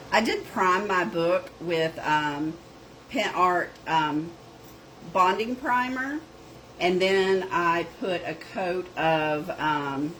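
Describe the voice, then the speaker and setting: A woman's voice: on the low side, fairly bright tone, a little hiss or hum, poor audio. A middle-aged woman with a North Georgia Southern accent, chatting casually while demonstrating a craft project. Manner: chatty, drawling, says "y'all" and "girl". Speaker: craft shop owner and video host. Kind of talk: casual crafting livestream chat.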